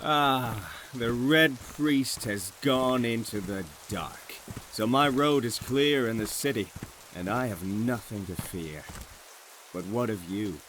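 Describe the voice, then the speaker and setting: An actor playing a cautious, earnest conspirator and loyal companion in a fantasy audio drama. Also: male voice, mid-range voice, takes it slowly, fairly bright tone, slight background noise. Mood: relieved, cautious.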